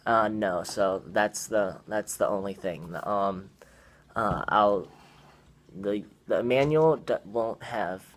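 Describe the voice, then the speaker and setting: A man's voice: fairly low pitch, slow pace. A young man talking casually at a meeting, hesitant, with many fillers and restarts. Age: young adult. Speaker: young man asking for trip support.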